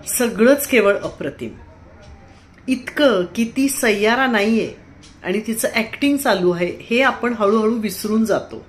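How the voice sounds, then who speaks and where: A woman with an Indian accent giving a personal theatre review in an expressive, admiring way, phrase by phrase. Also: mid-range voice. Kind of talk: personal theatre review, spoken to camera or phone. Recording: clearly noisy background ambience.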